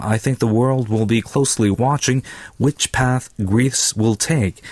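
A man's voice: fairly low pitch, darkish tone, no room sound. A man reading scripted economic commentary for a radio news programme.

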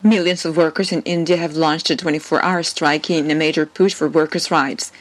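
Low-voiced woman reading the news. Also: a little fast. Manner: steady, formal bulletin delivery. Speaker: news reader.

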